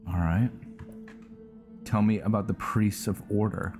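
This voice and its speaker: A man's voice: lowish voice, steady tone, measured pace, music in the background. A man hosting a gaming video, giving casual roleplay commentary and thinking aloud.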